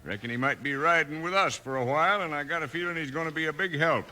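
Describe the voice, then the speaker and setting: An actor playing a scheming, folksy town gang boss with a Western drawl in a 1950s radio western. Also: male voice, fairly high pitch, quick pace, pushed and bright, slight background noise. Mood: commanding. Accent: American Western drawl. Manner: folksy, insinuating.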